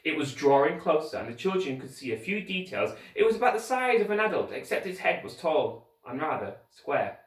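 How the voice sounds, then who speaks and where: A man reading a children's story aloud with expressive, emphatic narration and dramatic pauses, like a teacher reading to pupils. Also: on the high side. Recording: echoey room, distant microphone.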